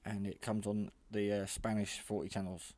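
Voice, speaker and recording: A man with a lowish voice talks through a casual product demonstration. He is matter-of-fact and a little hesitant, rambling from one point to the next like a hobbyist reviewer showing how something works. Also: little pitch movement, moderate pace, darkish tone, no echo. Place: garage.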